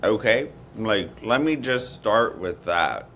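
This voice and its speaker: Guy podcast co-host, talks slow and emphatic, slightly low voice, casual. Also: animated, fairly bright tone, slight background noise. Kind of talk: casual chat.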